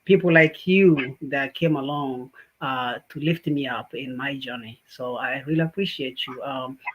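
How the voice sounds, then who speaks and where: A man from Tanzania, a guest on a podcast, talking in a warm, grateful way with many fillers and restarts. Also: medium pitch, unhurried, slightly rough, lo-fi sound. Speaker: guest, healthcare business owner. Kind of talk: casual interview.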